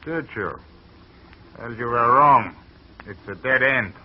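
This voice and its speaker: A man's voice: medium pitch, slow pace. A man playing a suspicious, domineering older farm owner in a 1940s radio drama, on a muffled old recording. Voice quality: commanding, suspicious.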